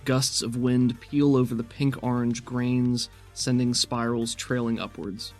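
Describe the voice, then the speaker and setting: A man working as game master, describing scenes for a tabletop roleplaying game in a measured, cinematic storytelling way. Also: medium pitch, little pitch movement, a little mellow. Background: music.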